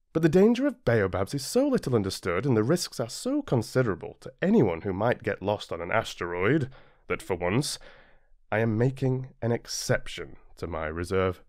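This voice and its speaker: A British man narrating a storybook as an audiobook, reading expressively and deliberately with dramatic pauses. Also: lowish voice, dry recording, studio quality. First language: English. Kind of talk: scripted storybook narration, read-along.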